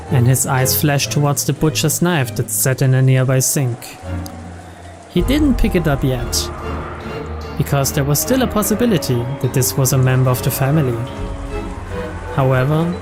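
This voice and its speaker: A man with a fairly high voice reads story narration from a visual novel aloud, with game music clearly playing behind him. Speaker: let's-play narrator reading game text aloud.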